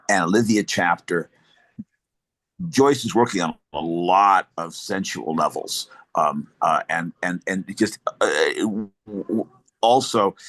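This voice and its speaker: Deep-voiced scholarly man, quick. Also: fairly bright tone. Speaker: panelist. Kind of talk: video call panel discussion. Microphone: laptop mic on video call.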